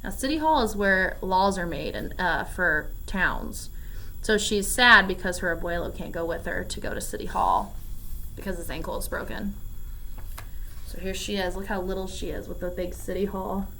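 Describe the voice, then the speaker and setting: A woman reading a storybook aloud to children in an expressive way, with casual explanatory asides. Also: on the low side, normal speed, rough recording. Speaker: read-aloud storyteller at a school. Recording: faint background noise.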